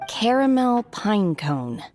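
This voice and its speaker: A woman voicing an aloof young woman who lives secluded in the mountains, calm, detached and literal-minded, in a game cutscene. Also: mid-range voice, takes it slowly. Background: soft background game music.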